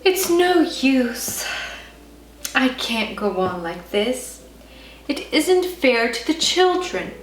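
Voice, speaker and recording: A woman's voice: sits high, big pitch swings, slow pace, a little hiss or hum, exaggerated voice. A woman voicing a weary, doubting mother who frets and cautions, in a children's storybook reading. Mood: discouraged. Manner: fretful, cautioning. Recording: roomy, distant mic, faint music.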